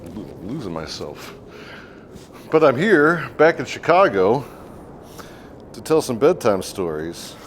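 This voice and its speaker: Animated, swaggering male storyteller. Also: medium pitch, slow, pauses often, a little hiss or hum. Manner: theatrical, swaggering, crude. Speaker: host of a military storytelling show, a first sergeant. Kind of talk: dramatic scripted storytelling with profane humour.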